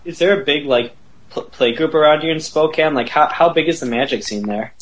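A man's voice: fairly high pitch, fairly quick, a little hiss or hum. A man from the US Midwest chatting enthusiastically and in a friendly way on a podcast. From Milwaukee, US Midwest. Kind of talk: casual podcast chat.

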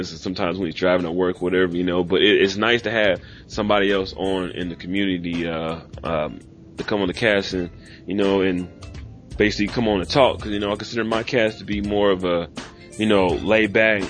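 Laid-back low-voiced podcast host. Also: male voice. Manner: laid-back slang, rambling with fillers. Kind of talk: casual podcast chat. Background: music.

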